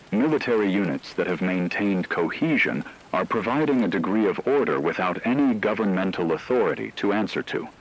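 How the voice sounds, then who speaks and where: A man playing a grave radio news analyst, delivering scripted reports in a formal, measured, analytical manner. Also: fairly low pitch, slightly rough, heavily acted, low-quality recording.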